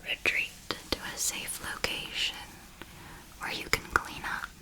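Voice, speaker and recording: A woman's voice: unhurried, sharp tone, low-quality recording. A woman voicing an ancient, serenely ruthless and darkly tender female vampire mentor in an ASMR roleplay, speaking formally and coaxingly in a whisper. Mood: patient, instructive.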